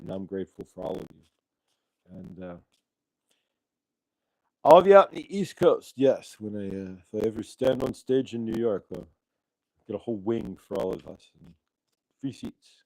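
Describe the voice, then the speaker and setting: A man's voice: lowish voice, animated, measured pace, pauses often, dry recording, low-quality recording. A middle-aged man hosting a casual live stream, rambling and thankful, with lots of fillers and restarts, speaking into a clip-on mic. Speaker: host. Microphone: clip-on transmitter mic.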